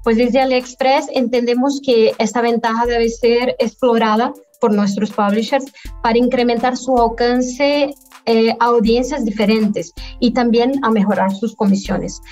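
A woman in her thirties explains her work as a guest on a video call, heard through a webcam. Her voice sits fairly high and her delivery is measured, halting between phrases. Faint music plays behind. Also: steady tone, a little mellow, muffled recording. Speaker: guest, affiliate manager. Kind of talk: business interview answers. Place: indoor room at home, by video call.

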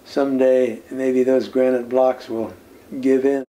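An older man, a former lighthouse keeper, recounts his service in an unhurried interview, pausing often with "uh" and soft chuckles. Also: medium pitch, little pitch movement, rough recording. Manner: unhurried storytelling with many "uh" pauses and soft chuckles. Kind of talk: reminiscing interview answers. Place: indoor room lined with nautical memorabilia. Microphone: seated interview, camera at medium distance.

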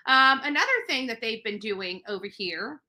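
Bright, higher-pitched indignant woman. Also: lively intonation, normal speed. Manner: indignant, emphatic, repeats phrases for emphasis. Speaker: livestream host. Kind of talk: direct-to-camera commentary. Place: indoor living room on a couch.

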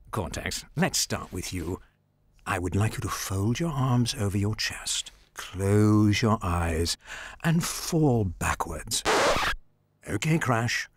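An actor playing a patient therapist in a video game, giving measured, placating instructions. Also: low voice, slight background noise. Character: patient, measured therapist leading a counselling session. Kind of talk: video game cutscene acting.

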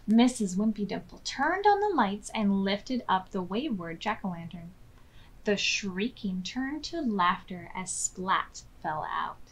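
An American woman in her thirties hosting storytime, reading aloud expressively and clearly to young children. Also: medium pitch, very animated, unhurried, slight background noise, poor audio. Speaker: children's storytime host at a public library. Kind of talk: read-aloud storytelling for preschoolers. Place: small furnished room indoors.

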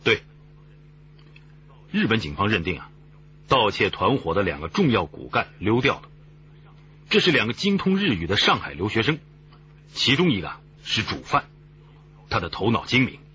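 A seasoned police chief in a radio drama. His lowish voice is firm and forceful, explaining with authority and plenty of rise and fall. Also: exaggerated voice. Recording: slightly dull, noisy recording.

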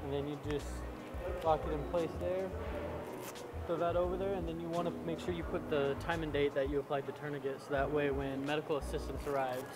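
A man's voice: fairly high pitch, flat delivery, a little fast, a crowd in the background. A young man in a shopping mall explaining a tourniquet step by step, polite and a little hesitant. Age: young adult. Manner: polite, a bit hesitant, says "sir". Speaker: passerby volunteer. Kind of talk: casual on-the-spot explanation.

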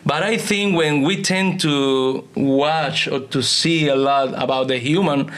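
A man speaks halting as a pastor and author in a conversational interview. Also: a little high, fairly bright tone, clear, resonant tone. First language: Spanish. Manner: halting non-native English with hesitations and repetitions. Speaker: pastor and author, podcast guest. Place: small indoor podcast studio. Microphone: close studio microphone on table stand.

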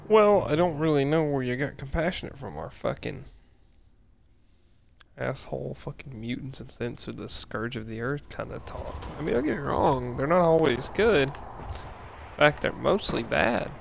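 A man streaming a game, chatting casually and swearing in excitable outbursts. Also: mid-range voice, normal speed, creaky, slight background noise. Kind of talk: casual let's play commentary.

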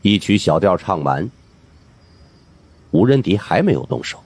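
A man with a husky voice narrating a supernatural mystery audiobook in a dramatic scripted style. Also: deep voice, sing-song, unhurried, darkish tone.

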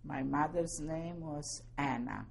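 A woman in her seventies from Poland, giving oral history testimony slowly and carefully, spelling out names. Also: low-pitched, vocal fry, darkish tone, a little hiss or hum. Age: 70s. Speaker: interviewee.

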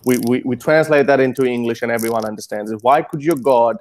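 A man's voice: medium pitch, fast, darkish tone. A man in his thirties whose first language is Urdu presses a heated argument. He stammers and repeats words as he talks. He speaks into an earphone mic in a home kitchen. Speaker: host. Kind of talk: debate over video call.

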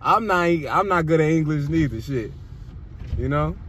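A man's voice: fairly high pitch, lively intonation, moderate pace. A young man, joking around in casual slangy banter from the back seat of a car. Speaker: vlogger. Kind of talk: casual chat. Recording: loud road noise in a car.

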